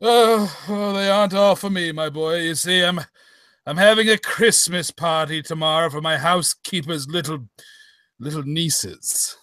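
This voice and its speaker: A man playing a genial, doddering older gentleman in a comic old-time radio play, stammering and repeating himself. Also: a little high, a little slow, no room sound. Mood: flustered, genial. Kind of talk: old-time radio acting, played for laughs.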